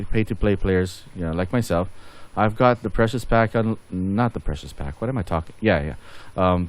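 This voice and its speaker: A man giving casual game update commentary as a channel host, rambling and thinking aloud as he goes. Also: low-pitched.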